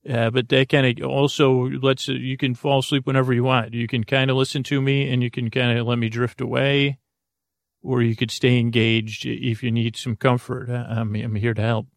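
A man with a low, gravelly voice rambles through a bedtime monologue, stumbling over restarts and fillers as he jokes gently. His voice sits close and dry, with no room sound around it. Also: little pitch movement, no room sound. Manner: halting, full of fillers and restarts, gently joking. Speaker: sleep podcast host.

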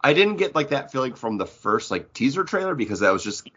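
A man's voice: medium pitch, sing-song, a little fast, a bit bright, breathy edge, highs cut off. A man co-hosting a podcast, chatting casually about films with other people. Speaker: podcast co-host.

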